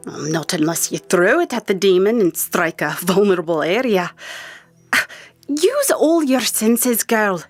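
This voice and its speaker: Woman playing a bossy, slow-spoken magical mentor. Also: medium pitch, very animated, no echo, soft background music. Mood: brusque, scolding. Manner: commanding, scolding, deliberate. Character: brusque, bossy older female magical mentor. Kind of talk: audio drama acting.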